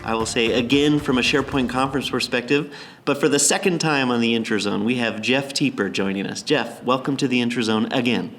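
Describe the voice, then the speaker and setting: A man's voice: mid-range voice, fairly quick, fairly bright tone. A man hosting a podcast live at a conference, friendly and chatty. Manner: friendly, chatty, laughs easily. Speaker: podcast host. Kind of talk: casual podcast chat.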